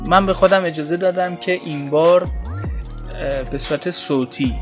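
A man introducing a podcast informally, hesitant and pausing between phrases. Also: fairly high pitch. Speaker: podcast host. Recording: music behind the voice.

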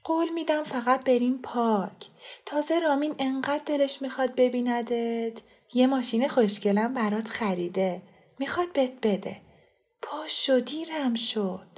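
A woman's voice: on the high side, sing-song, darkish tone, voice pushed up. A woman voicing a pleading, secretive young student in an audiobook story. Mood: hushed, pleading.

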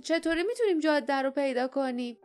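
A woman's voice: sits high, lively intonation, a little mellow, soft background music, voice pushed up. A woman voicing an impulsive young adventurer in a storybook, blurting out colloquial protests in a hesitant way. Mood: urgent asking. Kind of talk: dramatized audiobook character voice.

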